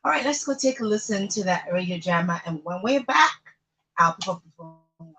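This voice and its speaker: A woman's voice: lowish voice, lively intonation, a little fast, pushed and bright, low-quality recording. A woman with a Nigerian accent hosting a radio show, chatting informally and playfully with emphatic phrasing. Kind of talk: chatty live radio presenting.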